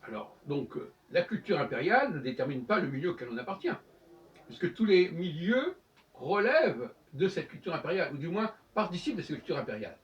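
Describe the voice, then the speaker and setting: A man giving a spoken lecture on his own, talking in halting bursts with many restarts. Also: a little high, sing-song. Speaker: host. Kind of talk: lecturing monologue. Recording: dull sound, from far off the mic.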